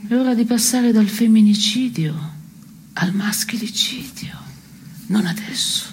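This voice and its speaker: An actress playing a disillusioned, caustic woman in an absurdist radio play, speaking in a terse, deadpan, sardonic manner. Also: on the high side, unhurried, noisy background, lightly acted. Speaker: stage actress. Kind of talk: theatrical dialogue in a radio play.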